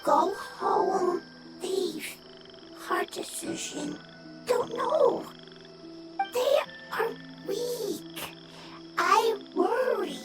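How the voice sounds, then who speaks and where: A woman voicing a small anxious fungus creature in a video game, speaking in halting, clipped, broken phrases. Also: extremely high pitch, loud music behind the voice, full character voice. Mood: worried. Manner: halting, clipped one- and two-word phrases.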